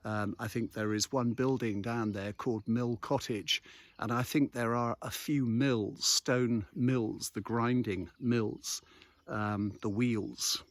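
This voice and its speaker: Male local history walking guide, medium pitch, conversational storyteller, recorded outdoors with a dry, close sound. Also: fairly even intonation, slow pace, no room sound. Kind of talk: unscripted outdoor narration, local history vlog. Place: outdoors on a misty hillside road.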